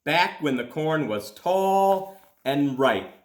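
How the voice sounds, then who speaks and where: A man reading a children's storybook aloud in a deliberate, expressive way with dramatic pauses. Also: on the high side. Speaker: school principal reading aloud. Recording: echoey room.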